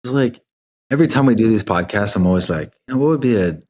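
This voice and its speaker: Low-voiced man hosting a podcast. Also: animated, fast talker, a little mellow. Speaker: podcast host. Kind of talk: casual podcast conversation.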